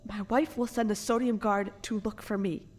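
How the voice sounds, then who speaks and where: A woman playing a frightened but defiant noblewoman in an audio drama, with a halting, stammering, anguished delivery. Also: medium pitch, steady tone, close to an everyday voice. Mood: defiant, suspicious. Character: distraught revived noblewoman, mother, frightened and defiant.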